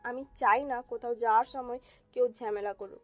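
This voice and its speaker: A sensible young married woman, played by a woman, speaks politely and matter-of-factly in a fairly high voice. It is an audio drama with music behind. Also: fairly even intonation, normal speed, a little mellow, lightly acted. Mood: cautioning.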